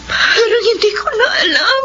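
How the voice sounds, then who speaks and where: A burdened young elder sister in a radio drama. Her voice is very high and pleading, full of feeling, heard over loud noise on a slightly dull recording. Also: animated, moderate pace, full character voice. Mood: despairing, tearful. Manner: emotional, pleading. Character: distressed young older sister Blessy, burdened, tearful, caring. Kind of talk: Filipino radio drama acting.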